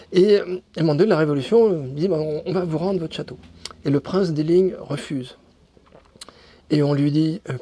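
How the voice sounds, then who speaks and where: A man with a fairly high, mellow voice, answering questions in a relaxed interview. He hesitates often, restarts phrases and fills pauses while he thinks. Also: husky. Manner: hesitant, many restarts and fillers. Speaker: guest. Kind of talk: casual interview talk.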